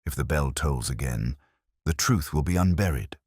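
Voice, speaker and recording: A Victorian messenger with ominous news voiced by a male narrator, very deep in pitch. His delivery is formal, clipped and urgent, moving widely in tone within a storybook mystery. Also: lively intonation, normal speed, darkish tone, slightly rough, no room sound, clean studio sound, full character voice. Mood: ominous urgency.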